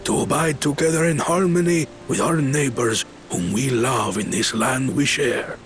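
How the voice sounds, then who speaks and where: A man's voice: mid-range voice, normal speed, natural voice. An actor playing a devoted townsman who pleads earnestly for harmony in a video game cutscene. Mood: pleading. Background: soft game music.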